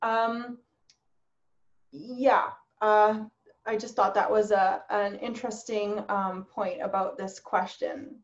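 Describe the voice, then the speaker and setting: A woman researcher explaining thoughtfully and with pauses in a webinar discussion over a video call. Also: mid-range voice, flat delivery, dull audio. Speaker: social science researcher, panelist.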